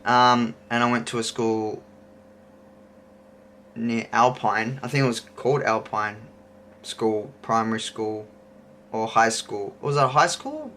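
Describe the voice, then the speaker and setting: Slow-talking Australian man, bright voice. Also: mid-range voice, a little hiss or hum. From Queensland, Australia. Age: 30s. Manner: rambling, thinking aloud. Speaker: YouTuber host. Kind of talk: casual chat to camera.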